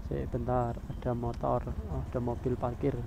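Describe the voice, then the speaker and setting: A man's voice: medium pitch, level tone, measured pace, creaky. Man vlogging from a motorbike. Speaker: motovlogger, channel host. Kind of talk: casual motovlog commentary while riding. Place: outdoors, riding a motorbike on village roads. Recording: outdoor vehicle and engine noise.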